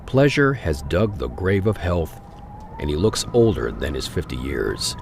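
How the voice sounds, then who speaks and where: A man narrating a Victorian tale in a deliberate, theatrical storytelling style, broken into short dramatic phrases. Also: rumbling low, very animated, vocal fry, noisy background.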